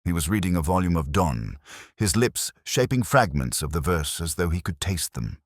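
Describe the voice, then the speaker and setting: Very deep-voiced man narrating detective fiction. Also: very animated, no room sound, studio quality. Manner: measured, reflective, formal diction. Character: brooding, world-weary male police inspector narrating. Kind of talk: literary first-person scripted narration.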